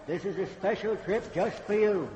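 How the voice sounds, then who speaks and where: An actor playing a folksy, relentless, ghostly train conductor in an old-time radio horror play, talking in a brisk, bossy way. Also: male voice, high-pitched, a little mellow, natural voice. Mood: insistent, eerie. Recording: dull, very noisy old radio recording.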